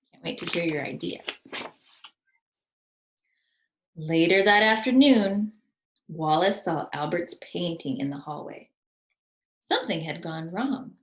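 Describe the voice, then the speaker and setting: A woman narrates a children's storybook like a teacher, in a deliberate, explanatory way. Her pace is slow and her intonation swings widely. The recording has an echoey room sound. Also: lowish voice, a little hiss or hum. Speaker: teacher reading a storybook aloud. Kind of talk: children's read-aloud narration with questions to listeners. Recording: roomy, echoing sound.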